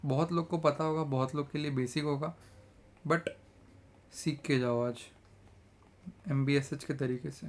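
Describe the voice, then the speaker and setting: A young man with a slightly sore throat walks viewers through a trading lesson in an upbeat, chatty way. His voice sits a little high, and he speaks slowly and deliberately, checking in often with the listener. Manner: energetic, mixes English phrases, repeats "theek hai". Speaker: trading educator. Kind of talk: casual trading tutorial in Hindi-English mix.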